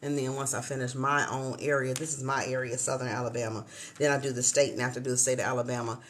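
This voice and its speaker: A woman's voice: a bass voice, flat delivery, quick pace, slight background noise. A woman in her fifties from Chicago, hosting a casual livestream chat from a hotel room and addressing viewers as y'all. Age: 50s. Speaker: livestream host.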